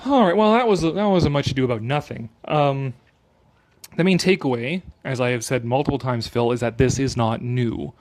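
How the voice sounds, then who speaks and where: A man's voice: mid-range voice, fairly quick, a little mellow. A man giving a sarcastic, exasperated off-the-cuff commentary, emphatic and freely swearing, a little tipsy. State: tipsy from drinking. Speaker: video commentator.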